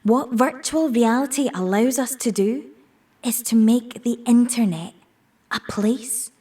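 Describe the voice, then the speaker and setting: A woman playing a married tech speaker in an audio drama, giving a measured, persuasive presentation. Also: on the high side, dark tone, slightly rough, far from the mic. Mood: confident, persuasive. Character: married tech-industry woman pitching virtual reality to an audience. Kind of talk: scripted audio drama monologue, public presentation.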